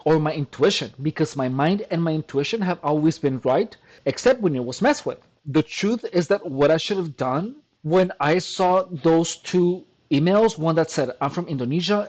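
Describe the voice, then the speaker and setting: Man, higher-pitched voice, channel host, casual monologue, emphatic, repetitive. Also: a little mellow, lo-fi sound. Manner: emphatic, repeats "look" and restarts often. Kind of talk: casual talking-to-camera monologue.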